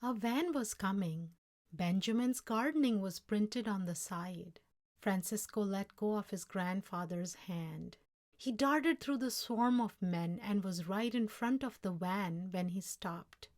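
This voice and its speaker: A woman storyteller reading a children's story aloud in a measured, expressive way. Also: medium pitch. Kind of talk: scripted children's story narration, read aloud.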